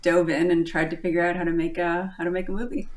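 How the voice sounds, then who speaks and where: Female guest in her 40s, lowish voice, cheerful, quick to laugh. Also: little pitch movement. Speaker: film producer and actress, guest. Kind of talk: casual interview chat.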